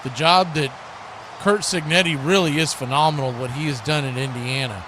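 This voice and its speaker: A man's voice: a little high, normal speed, background noise. An American man giving live play-by-play football commentary as host, in short, clipped present-tense calls.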